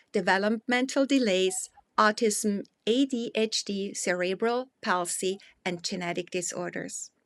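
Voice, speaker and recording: A woman's voice: medium pitch, sharp tone, dry recording, clean studio sound. A woman hosting an interview show, reading a formal introduction of her guest and then asking questions with a few hesitant fillers. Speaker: host.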